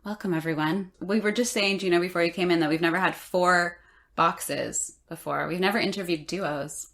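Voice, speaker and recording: A woman's voice: fairly low pitch, moderate pace, fairly bright tone. A woman, hosting a podcast with both scripted introductions and conversational interviewing. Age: 40s. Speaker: podcast host.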